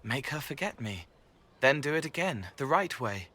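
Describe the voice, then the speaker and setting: An actor playing a gentle young male spirit in a video game, speaking in halting, dreamlike fragments of poetry. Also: medium pitch, big pitch swings. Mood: quiet, earnest. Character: gentle young male spirit who senses others' pain.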